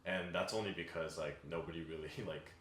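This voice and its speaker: A man's voice: sits low, brisk, reverberant. A young man who is a college student, answering interview questions casually with lots of "like" and easy laughter. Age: young adult. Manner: animated, filler-heavy, laughing easily.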